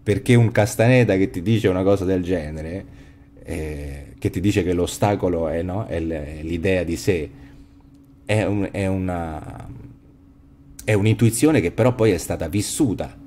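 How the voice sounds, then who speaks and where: A man's voice: deep voice, unhurried, mellow, slight background noise. A man in his forties hosting a podcast over a video call on a headset, musing in a reflective way with frequent restarts and trailing phrases. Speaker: podcast host. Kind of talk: casual philosophical chat. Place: home room, video call.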